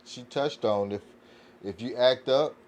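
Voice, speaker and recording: A man speaking casually in a group discussion, halting and leaning often on "you know". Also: medium pitch, a little mellow. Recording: faint background noise.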